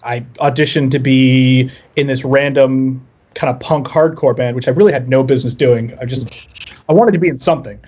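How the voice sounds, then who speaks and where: A man's voice: mid-range voice, steady tone, moderate pace, darkish tone, breathy edge. A man chatting casually as a musician guest on a podcast, telling personal stories in a wry, self-deprecating way. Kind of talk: casual chat, personal storytelling.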